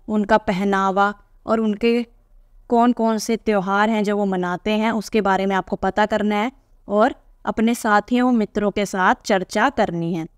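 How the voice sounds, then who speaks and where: A woman's voice: medium pitch, clean tone, no echo, studio quality. A woman teacher reading aloud from a textbook and patiently explaining each answer to young pupils.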